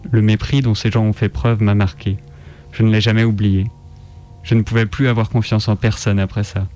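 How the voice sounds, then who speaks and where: A man reads a literary story in the first person. His voice sits low and barely moves in pitch, keeping a flat, even line. Soft music plays beneath the narration. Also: moderate pace. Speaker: reader on a radio show.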